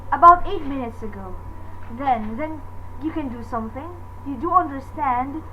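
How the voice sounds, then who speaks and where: An actress playing an anxious, demanding invalid wife in a radio drama, fretful, indignant and easily exasperated. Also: female voice, sits high, lively intonation, moderate pace, lo-fi sound, background noise. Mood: anxious, pleading.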